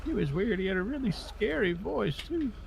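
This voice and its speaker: A man's voice: high voice, very animated, moderate pace, vocal fry, noisy background. A man chatting casually as a streamer guest, slangy and jokey in his delivery. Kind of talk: casual chat.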